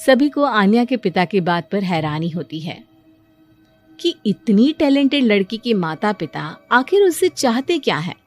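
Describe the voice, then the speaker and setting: A woman's voice: mid-range voice, sing-song, unhurried. A woman giving scripted narration for a cartoon story. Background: music.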